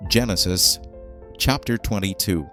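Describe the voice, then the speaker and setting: A man narrating a scripted Bible reading in a solemn, measured manner. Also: deep voice, fairly bright tone, soft background music.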